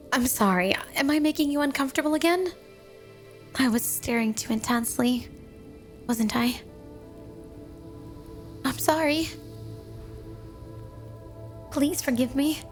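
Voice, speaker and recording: A woman's voice: a little high, vocal fry. A woman voicing a sweet, obsessively devoted yandere snow woman wife in a flirty, doting ASMR roleplay spoken to the listener. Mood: dreamy, obsessive, then apologetic, fretful. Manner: sugary, doting, flirty, clingy. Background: music.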